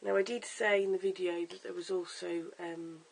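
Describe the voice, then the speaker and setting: Croaky, hoarse voice with gravelly fry. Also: medium pitch, little pitch movement, moderate pace, low-quality recording. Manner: chatty, stop-start with fillers and self-corrections. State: hoarse, lost voice. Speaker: wig tutorial vlogger. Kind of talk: casual how-to demonstration. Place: at home in front of a camera.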